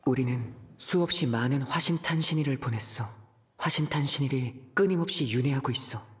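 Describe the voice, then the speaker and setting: A man playing a serious, thoughtful young traveler, reasoning aloud in a measured way in a video game. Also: mid-range voice, close to an everyday voice. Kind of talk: video game inner deduction monologue.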